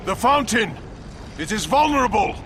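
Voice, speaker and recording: A zealous military commander in a game cutscene. He is terse and stern, his fairly high voice rising and falling dramatically over battlefield noise. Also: very animated, moderate pace. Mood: urgent commanding. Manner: terse, forceful military declaiming. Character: stern, resolute male warrior commander, zealous. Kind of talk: video game cutscene acting.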